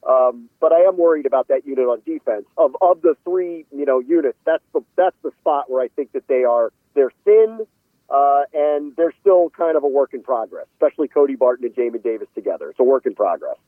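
A man giving conversational football analysis as a guest, measured and opinionated, qualifying his points as he goes. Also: medium pitch, lively intonation, no room sound. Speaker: sports analyst interviewed as a guest. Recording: phone-like radio call audio.

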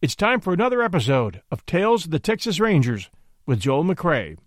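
Animated, high-voiced male podcast host. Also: brisk, no echo, studio quality. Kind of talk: scripted show introduction.

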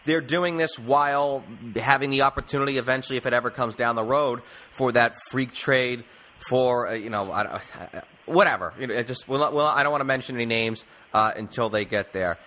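A man's voice: mid-range voice, brisk, sharp tone. A man hosting a casual sports interview, putting wordy, opinionated questions to his guest. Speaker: sports show host.

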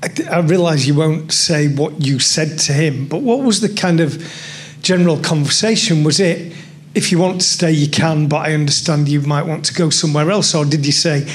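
A man's voice: a little high, normal speed. A male journalist putting questions to someone at a press conference.

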